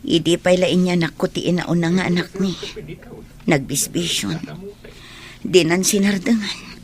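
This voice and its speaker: A woman's voice: lowish voice, steady tone, measured pace, close to an everyday voice. A woman with an Ilocano accent acting a long-suffering wife in a radio drama, confiding in a subdued, plaintive way. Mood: sorrowful.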